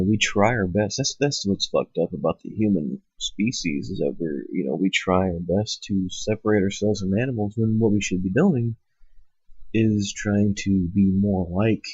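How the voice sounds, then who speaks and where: A husky man with a lowish voice, stuffed up from a cold, rants bluntly and swears a lot, wandering through his points with plenty of fillers. It is a dull, lo-fi home recording, close and dry with no room sound. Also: a little mellow, dry recording. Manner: profane, blunt, rambling with fillers. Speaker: host. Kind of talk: ranting monologue. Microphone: cheap microphone. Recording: lo-fi home setup.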